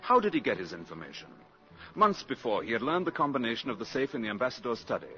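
Male narrator for old-time radio thriller, mid-pitched and animated, music underneath. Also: fast talker. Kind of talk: dramatic scripted 1950s radio narration. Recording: dull old radio recording.

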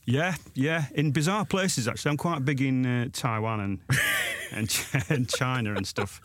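A self-deprecating man in his forties with a South Yorkshire accent, telling stories in a loose, rambling way full of restarts. His voice sits at a middle pitch and is recorded dry and close, with no room sound. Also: huge pitch swings, a little slow, a bit bright, no echo. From Sheffield, England. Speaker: guest author and blogger. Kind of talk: casual interview chat.

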